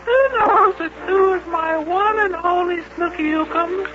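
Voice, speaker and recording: A man's voice: very high voice, measured pace, over music. A man voicing a gushing, lovesick widow lady with affected baby talk in a 1950s children's radio serial. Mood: coquettish. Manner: cooing, affected, baby-talking. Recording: dull, noisy old radio recording.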